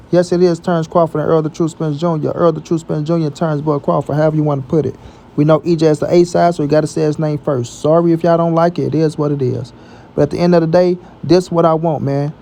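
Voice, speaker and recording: An American man with a fairly high voice that stays quite level in pitch. He gives casual, opinionated sports commentary with a mellow tone, repeating himself for emphasis and using plenty of slang. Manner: emphatic, repetitive, slangy with frequent check-in tags. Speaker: boxing commentary channel host.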